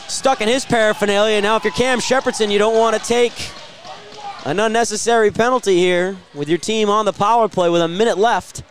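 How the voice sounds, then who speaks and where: A man doing live radio play-by-play of ice hockey, describing each move and surging with excitement on big plays. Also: high voice, slight background noise. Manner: rapid-fire descriptive calls with excited surges at big plays.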